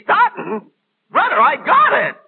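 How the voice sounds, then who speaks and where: A man's voice: very high-pitched, huge pitch swings, unhurried, vocal fry, narrow, tinny audio, a little hiss or hum, exaggerated voice. A man voicing a cocky, dim, slangy American airman in a comic 1940s training cartoon. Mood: indignant, boastful. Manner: slangy, ungrammatical, blustering. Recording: band-limited 1940s film soundtrack.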